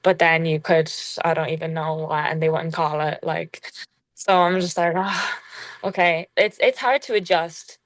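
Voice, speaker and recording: A young woman from Ireland, a college basketball player, talking casually in an interview with an Irish accent gone strongly American, halting and full of fillers. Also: low voice, no room sound. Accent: Irish with a strong American tinge. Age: young adult. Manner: relaxed, halting, full of "like" fillers, laughs often.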